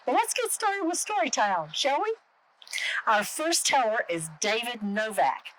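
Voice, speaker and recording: Animated woman hosting a storytelling show. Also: a little high, slight background noise, poor audio. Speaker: show host. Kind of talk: scripted introduction for a storytelling show.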